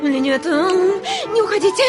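An actress playing a proud, hot-tempered young widow in a theatrical radio play, imperious and melodramatic. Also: female voice, very high voice, measured pace. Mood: torn, agitated. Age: young adult. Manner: imperious, melodramatic, abrupt commands. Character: proud, furious, wavering young widowed lady landowner. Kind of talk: theatrical Russian classic radio play acting. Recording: studio radio drama with loud music.

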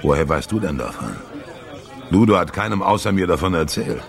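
Gravelly deep boozy barfly. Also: male voice, animated, fairly quick. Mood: musing, resentful. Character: boozy, wistful male barfly, slyly sociable jazz fan. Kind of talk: German radio drama acting. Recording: bar crowd murmur behind.

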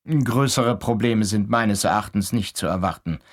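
An actor playing a fussy, dignified hospital doctor in a German radio play, formal and touchy, often clearing his throat. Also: on the low side, moderate pace, natural voice.

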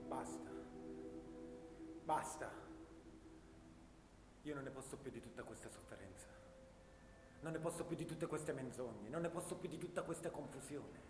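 An actor playing a despairing soldier trapped in dark trenches, giving an anguished, declamatory stage monologue. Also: male voice, mid-range voice, expressive, moderate pace, pauses often, natural voice. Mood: desperate. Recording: echoey hall from far off, faint noise.

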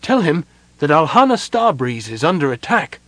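Man acting an impassioned young elf prince, high-pitched. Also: sing-song, normal speed, a bit bright, dry recording. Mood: urgent, weary. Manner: impassioned, urgent, commanding. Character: young male elf prince, anguished, imperious, impatient.

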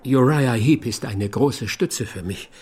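An actor playing a weary, trusting gentleman business owner in a radio play, with a husky voice. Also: medium pitch, a little slow. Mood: relieved, feeble. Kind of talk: German radio play acting.

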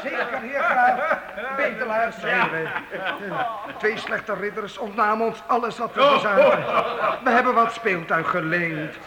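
High-voiced, declaiming wandering minstrel. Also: male voice, expressive, a little slow. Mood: humble, pleading. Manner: ceremonious, declaiming, flowery. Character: humble wandering minstrel, courtly, theatrical beggar-singer. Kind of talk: radio drama acting. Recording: echoey old radio play, loud crowd.

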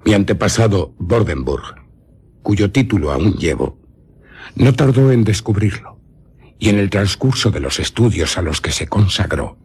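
An old nobleman with a badly rasping, deep voice. His Castilian Spanish rises and falls dramatically as he unfolds vampire lore like a learned, eccentric scholar. It is mysterious radio drama, deliberate and full of pauses. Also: animated, a little mellow, heavily acted. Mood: grave, instructive. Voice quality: very husky, raspy.

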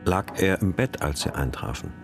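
A man's voice: deep voice, lively intonation, normal speed. A man playing a blunt, insistent police detective in a radio crime drama, doggedly putting questions. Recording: music behind the voice.

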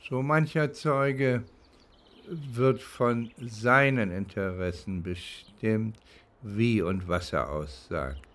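An older man from East Germany recounting his legal experience reflectively, halting and pausing between phrases. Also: on the low side, a bit bright. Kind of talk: reflective spoken recollection, interview-like.

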